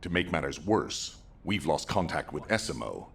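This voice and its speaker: Grave army commander for a game, super low husky voice, stern and formal, weary but resolute. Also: male voice, sing-song, normal speed, full character voice. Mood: stern, urgent. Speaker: commander giving mission briefings. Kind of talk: dramatic video game briefing.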